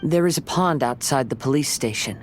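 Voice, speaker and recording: A man playing a calm young samurai wanderer in a video game, speaking in a measured, formal and polite way. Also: medium pitch, slight background noise, natural voice. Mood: calm, earnest. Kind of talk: video game dialogue acting.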